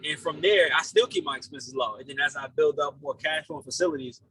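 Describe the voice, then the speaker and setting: A man's voice: fairly high pitch, quick pace, sharp tone, poor audio. A man in his late twenties giving casual, earnest interview answers, rambling and repeating his phrases as he goes. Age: late 20s. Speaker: podcast guest, real estate investor.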